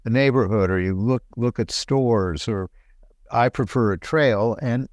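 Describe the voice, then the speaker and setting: A middle-aged man, a doctor co-hosting a health talk show, explaining things in a relaxed, conversational way. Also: medium pitch, unhurried, no room sound, clean studio sound. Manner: explanatory, relaxed, laughs lightly.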